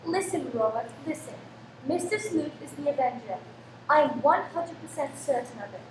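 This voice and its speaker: Woman acting a high-voiced anxious wife and mother. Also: animated, normal speed. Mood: frantic, urgent. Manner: urgent, dramatic exclamations. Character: frantic wife and protective mother, fearing a killer. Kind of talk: radio play acting. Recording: roomy, echoing, faint background noise.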